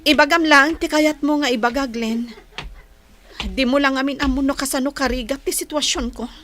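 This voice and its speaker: A plaintive schoolgirl in a radio drama. Her voice is fairly high, and she speaks with earnest, patient hurt. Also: steady tone, moderate pace, a bit bright.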